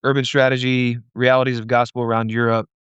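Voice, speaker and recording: Smooth-voiced male podcast co-host. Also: mid-range voice, fast, no room sound, highs cut off. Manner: relaxed, hesitant, with fillers. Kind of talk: casual interview chat.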